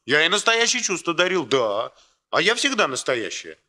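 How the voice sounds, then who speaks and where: A man's voice: fairly high pitch, sing-song, normal speed, bright, forceful tone, full character voice. A man acting in a Soviet radio play as a cocky, self-pitying young factory worker, talking colloquially and emotionally to justify himself. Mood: aggrieved, self-pitying.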